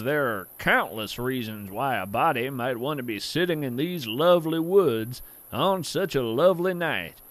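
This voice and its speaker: A man's voice: medium pitch, a bit bright, dry recording. A man playing a folksy, awestruck naturalist in a fiction podcast, musing poetically by a campfire as he tells his story. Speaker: podcast host. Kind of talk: scripted audio fiction monologue.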